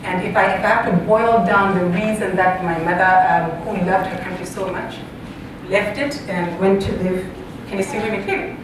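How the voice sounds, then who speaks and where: A woman from Zambia and Botswana giving a public talk, speaking haltingly with repeated words and frequent pauses. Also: fairly even intonation. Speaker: university faculty member, guest speaker. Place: large echoey room, live talk. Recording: echoey hall, distant mic, noisy rumble.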